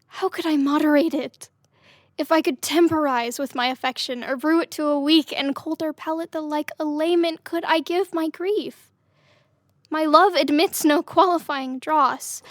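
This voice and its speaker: A woman's voice: high-pitched, dry recording, studio quality. A young woman playing a passionate, grief-stricken young Trojan woman in love, with impassioned verse delivery in a Shakespearean audio drama. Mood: grieving, defiant. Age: young adult.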